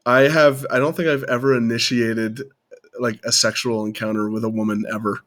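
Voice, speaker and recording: Raspy sick male host, mid-range voice, profane teasing banter. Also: medium pitch, flat delivery, normal speed, fairly bright tone. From Denver, Colorado area. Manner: teasing, profane, laughing often. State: raspy from illness. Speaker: streamer host. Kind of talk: casual chat.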